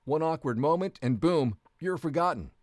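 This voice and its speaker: A man's voice: fairly high pitch, lively intonation, normal speed, darkish tone, dry recording, clean studio sound. A man narrating a story video in the second person, with a wry, jokey delivery.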